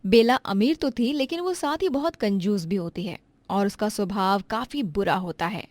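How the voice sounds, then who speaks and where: A woman's voice: medium pitch, normal speed, a little mellow, no room sound. A woman storyteller giving scripted narration of a family moral tale.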